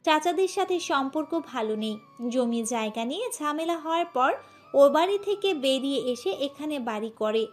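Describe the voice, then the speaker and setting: A woman's voice: high-pitched, lively intonation, normal speed. A woman reading a scripted audio story as its narrator, with music behind her. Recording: music under the voice. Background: background music.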